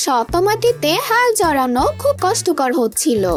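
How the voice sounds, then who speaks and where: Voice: sits high, very animated, normal speed, soft background music. A narrator reading a scripted children's cartoon story.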